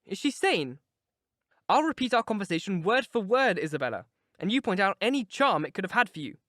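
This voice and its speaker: A proud young married woman lectures with cutting scorn, as a male reader puts her on. Her words come emphatic and animated, set a little above the reader's own tone. The recording is dry. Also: high voice, brisk, dry recording. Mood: scornful.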